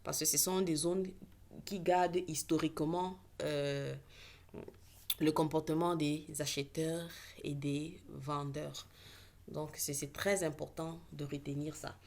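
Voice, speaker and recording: A woman's voice: deep voice, little pitch movement, takes it slowly, darkish tone. A woman who speaks English natively, teaching trading in a casual online lesson with many fillers and self-corrections.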